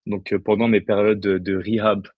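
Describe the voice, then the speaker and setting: A man's voice: fairly low pitch, little pitch movement, normal speed, highs cut off, a little hiss or hum. A man in his twenties from the Paris region, chatting casually as an interview guest. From Paris region, France. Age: 20s. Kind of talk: casual chat.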